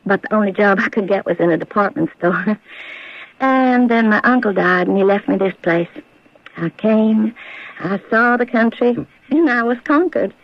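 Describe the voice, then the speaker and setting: An actress playing a friendly, curious, bookish garage owner in an American radio drama, with an American accent and a teasing, probing way of talking. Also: female voice, mid-range voice, moderate pace, natural voice. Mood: warmly playful. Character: friendly, curious, bookish woman running a desert roadside garage. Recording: dull old radio recording.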